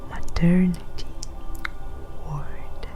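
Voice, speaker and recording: Female ASMR narrator, whispered low delivery, extremely unhurried, lingering pauses. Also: music in the background. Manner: hushed, breathy whispering with lingering pauses between short phrases. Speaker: ASMR performer.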